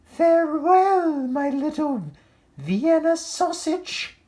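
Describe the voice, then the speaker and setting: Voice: high-pitched, very animated, takes it slowly, dark tone, pitched above the performer's own voice, exaggerated voice. A storyteller voicing a doting, gushing mother dachshund in a storybook, with a cooing, singsong call. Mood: fond calling out.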